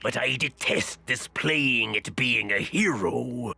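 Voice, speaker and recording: A man acting a haughty, disdainful sea king villain in a video game, with a gravelly voice and stiff, grandiose manner. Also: medium pitch, takes it slowly, bright, forceful tone, soft background music, full character voice.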